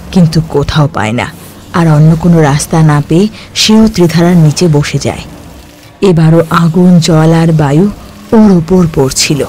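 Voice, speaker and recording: A man narrating a cartoon folk tale in a steady storytelling voice. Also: a little high, slight background noise.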